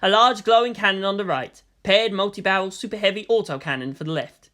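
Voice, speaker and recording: A woman's voice: mid-range voice, a little fast, a bit bright. A woman reading scripted story narration as the narrator. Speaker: narrator of fan fiction reading.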